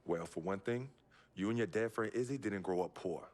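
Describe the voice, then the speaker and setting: An actor playing a loyal young male athlete from a rough neighborhood in a video game, talking in a casual, slangy, straightforward way. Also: lowish voice, moderate pace, darkish tone, close to an everyday voice. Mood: defensive, earnest. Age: young adult. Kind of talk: naturalistic game cutscene dialogue.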